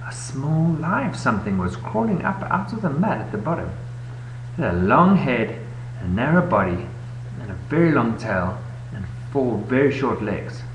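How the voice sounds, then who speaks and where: An echoey, noisy home webcam recording of a man reading a children's story aloud. His voice sits fairly low but moves around a great deal, giving the storytelling an expressive, animated feel. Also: highs cut off. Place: indoor room, webcam recording. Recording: echoey room, noisy webcam audio with hum.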